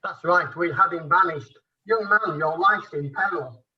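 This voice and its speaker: A man playing a blustering, henpecked emperor in a rhyming pantomime, with a husky, forceful voice. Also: fairly high pitch, unhurried, distant mic, lo-fi sound. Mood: indignant. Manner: blustering, imperious. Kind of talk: rhyming amateur pantomime acting.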